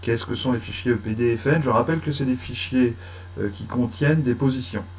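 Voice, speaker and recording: A man giving a casual screen-recorded software tutorial, talking slowly with many hesitant fillers. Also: mid-range voice. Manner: hesitant, many "euh" fillers, chatty and apologetic. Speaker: tutorial host.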